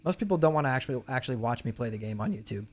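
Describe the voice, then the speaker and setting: Raspy-voiced man streaming casually. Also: mid-range voice, animated, very fast, a little hiss or hum. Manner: laid-back, chatty, talks to chat. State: losing his voice. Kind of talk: casual live stream chat.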